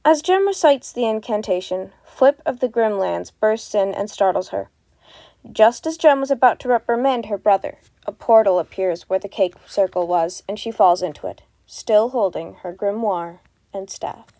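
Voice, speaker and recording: A woman's voice: mid-range voice, animated, moderate pace, mellow, no room sound. A woman narrating a fan fiction story as an amateur audio reading. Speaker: podfic narrator.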